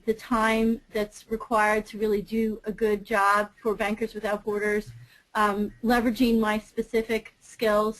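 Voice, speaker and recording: A woman's voice: fairly high pitch, poor audio. A woman speaking as a webinar panelist, hesitant, with frequent ums and restarts. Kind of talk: casual talk on a webinar.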